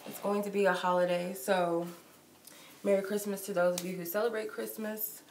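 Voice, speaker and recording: A woman's voice: mid-range voice, little pitch movement, normal speed. A woman with a cold and a stuffy nose, giving a casual live-streamed tarot reading in a chatty, loose way full of likes and ums. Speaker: tarot reader. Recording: echoey room with noise.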